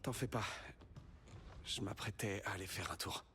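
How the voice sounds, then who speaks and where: Jeune héros direct, ambiance nette. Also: male voice, fairly low pitch, normal speed, pushed and bright, a little hiss or hum. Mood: troubled. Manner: informal, blunt. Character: young defiant hero, blunt and determined.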